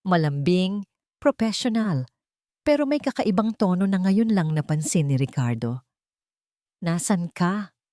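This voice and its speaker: A woman narrating a romance drama story in a measured, dramatic storytelling manner. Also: fairly low pitch, big pitch swings, dark tone, no echo, clean studio sound.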